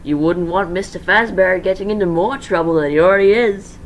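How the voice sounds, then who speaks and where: A narrator's smug, loud, menacing businessman. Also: mid-range voice, a little hiss or hum, voice pushed up, close to an everyday voice, low-quality recording. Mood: smug, taunting. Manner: drawn-out, taunting politeness. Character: smug, menacing businessman, mockingly polite, male.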